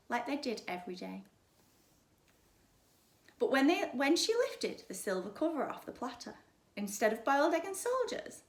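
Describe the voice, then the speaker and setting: A woman reading a children's story aloud like a primary school teacher, dramatically, with emphatic pauses and hushed drops. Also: mid-range voice. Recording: roomy, echoing sound.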